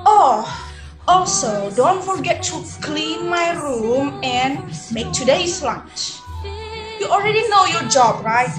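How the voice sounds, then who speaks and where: Young woman doing mean bossy stepmom, high voice, scolding, echoey room w music. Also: animated, takes it slowly. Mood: bossy, demanding. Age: young adult. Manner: scolding, imperious. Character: cruel, bossy, scornful stepmother. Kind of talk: amateur readers theater performance. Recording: roomy home video call, music behind.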